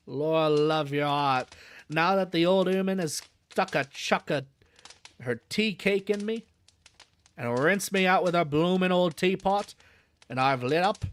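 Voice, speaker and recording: A man reading aloud and voicing a gruff old male zookeeper, grumbling in a rough dialect with dropped h's. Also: on the high side, a bit bright, slight background noise.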